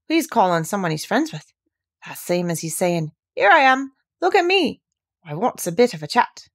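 A woman's voice: medium pitch, sing-song. Woman doing a cheerful Yorkshire country boy. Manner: quick, easy, good-humoured speech. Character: cheerful country boy, easygoing, friendly with animals.

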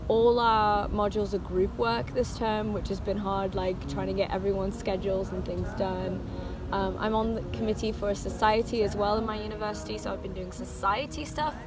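A woman in her twenties talks casually to camera, as a student vlogger would. Her voice sits at a medium pitch, with music clearly playing behind her. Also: steady tone, normal speed. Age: 20s.

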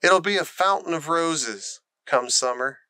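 A man's voice: a little high, measured pace, sharp tone, no room sound. A man voicing a friendly, eager country boy who loves animals in a storybook reading. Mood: enthusiastic.

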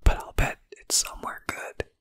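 A reader voicing a kindly, cheerful old male grasshopper from a storybook in a breathy whisper. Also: moderate pace, fairly bright tone, no echo, studio quality, lightly acted. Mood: cheerful, reassuring.

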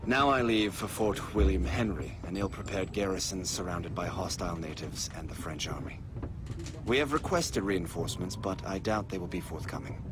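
An actor playing a British colonel reading a grave farewell letter in a formal, measured voice. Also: male voice, low voice, background noise, close to an everyday voice.

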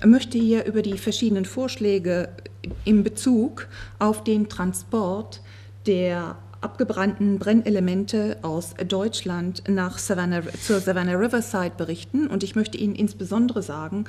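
A woman interprets in a conference room with a husky, slightly breathy voice. She speaks fluently and evenly into a table microphone, at a middle pitch. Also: dark tone. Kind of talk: consecutive interpreting.

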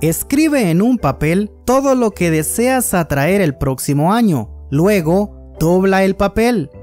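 A woman's voice: mid-range voice, lively intonation, slow pace, darkish tone, soft background music. A woman reading a scripted narration for a list video.

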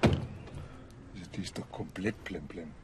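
An actor playing a blunt, cheeky police officer with a Bavarian accent and colloquial speech. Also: male voice, deep voice, slow, heavy background noise. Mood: dismissive.

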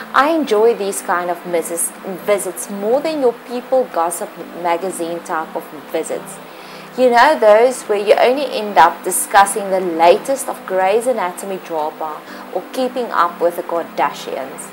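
A woman's voice: medium pitch, a little hiss or hum, low-quality recording. Earnest Afrikaans-accented woman blessing listeners. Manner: earnest, blessing-giving, careful phrasing with small slips. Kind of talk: read devotional voice-over.

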